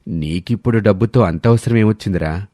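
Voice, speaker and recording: A man's voice: on the low side, fairly quick, dark tone, no room sound, clean studio sound, close to an everyday voice. A man voicing an old, stubborn but tender-hearted village father in scripted story dialogue. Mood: sad, tender.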